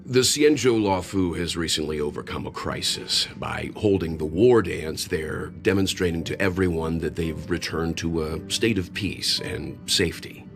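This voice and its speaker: A deep, gravelly older scholar. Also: male voice. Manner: measured, reflective. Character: thoughtful older male scholar. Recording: game cutscene with faint music.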